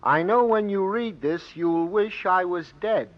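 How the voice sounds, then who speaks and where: An actor playing a deferential, hesitant court clerk in a 1940s radio drama, halting and deliberate. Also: male voice, sits high, heavy background noise. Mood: grave, deliberate. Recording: muffled old radio broadcast.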